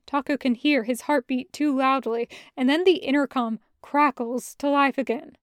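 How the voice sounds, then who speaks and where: High-voiced woman reading a story. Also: normal speed, no room sound, clean studio sound. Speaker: podfic reader narrating fan fiction. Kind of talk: expressive scripted narration.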